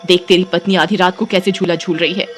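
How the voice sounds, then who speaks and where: A woman's voice: on the low side, little pitch movement, quick pace, close to an everyday voice. Woman acting a bossy, scolding village sister-in-law. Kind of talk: animated Hindi storybook acting. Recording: music behind the voice.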